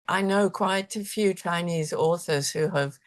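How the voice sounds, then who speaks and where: A woman's voice: fairly low pitch, measured pace. A woman speaking as a literary translator on a panel, discussing authors with appreciation.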